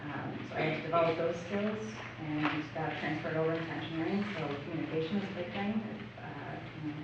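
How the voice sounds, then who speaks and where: A young woman speaking on an engineering panel to students, relaxed and encouraging, with many fillers. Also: low voice, quick pace. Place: college cafe panel. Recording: roomy, distant, dull and noisy.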